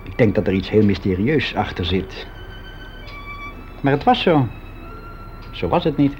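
An actor playing a frank, wistful clerk of about sixty in a radio drama monologue, speaking in a musing, halting, confiding way. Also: male voice, on the low side, big pitch swings, a little mellow, faint music behind. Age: older, about sixty. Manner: musing, halting, candid, with drawn-out phrases. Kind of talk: confessional radio drama monologue, conversational and hesitant. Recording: dull lo-fi radio play recording.

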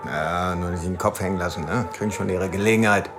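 A bluff male paramilitary officer with a deep voice. He talks fast and condescendingly in a clipped manner in a period radio play. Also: steady tone, music in the background. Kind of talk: radio drama acting.

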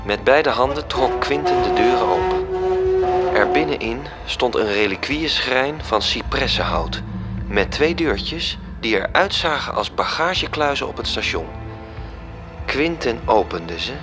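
An actor narrating a radio drama as a storytelling angel reporting events. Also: male voice, mid-range voice, wildly swooping pitch, a little slow. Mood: measured, suspenseful. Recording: music under the voice.

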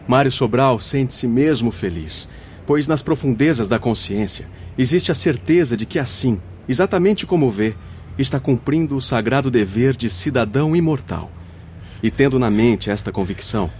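A Brazilian actor in a radio drama playing a wise, patient spirit mentor, teaching in a solemn, formal manner. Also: male voice, medium pitch, moderate pace, slight background noise, lightly acted. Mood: reassuring. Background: soft music.

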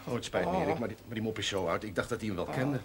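An actor playing a well-meaning, chatty man in a television drama, speaking a little haltingly. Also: on the low side, expressive. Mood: apologetic. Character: jovial, well-meaning man who tells old jokes. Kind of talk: naturalistic television drama acting. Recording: slightly noisy old TV soundtrack.

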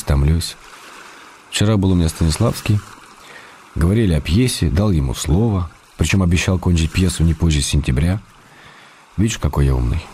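An actor playing a weary, affectionate writer in a radio play, reading love letters in restrained, tender, short measured phrases. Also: male voice, sits low, mellow, slight background noise. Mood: melancholy, fond. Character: weary, affectionate, wry male writer missing his beloved.

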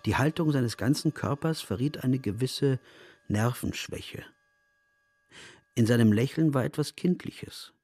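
An actor playing a reflective, observant traveller who narrates a literary radio drama in a measured, composed way. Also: male voice, mid-range voice, darkish tone, no room sound, lightly acted.

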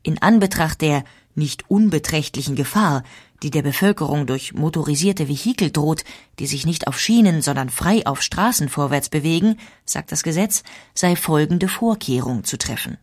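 Female documentary narrator; deep register, slightly raspy, composed and factual delivery. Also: animated, moderate pace, darkish tone. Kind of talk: scripted documentary audiobook narration.

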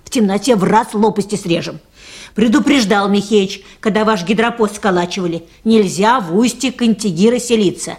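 A woman's voice: medium pitch, normal speed, darkish tone, close to an everyday voice. An actress playing a motherly middle-aged boat mechanic in a radio play, worried and grumbling in a folksy way. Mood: anxious, scolding. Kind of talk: 1980s Soviet radio play acting.

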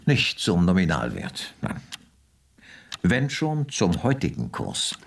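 An actor playing a blustering company director under pressure in a radio play, indignant and defensive. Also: male voice, low-pitched, wildly swooping pitch, slow, creaky, breathy edge, distant mic, exaggerated voice. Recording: voice set back from mic.

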